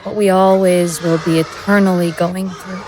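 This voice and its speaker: A woman's voice: on the low side, little pitch movement, moderate pace, lightly acted. A woman playing a bitter, betrayed and vengeful woman in a horror audio drama. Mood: eerie, taunting.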